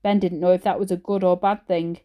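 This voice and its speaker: A woman teacher reading a children's story aloud in an expressive storytelling style. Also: on the low side, a little fast, clear, resonant tone.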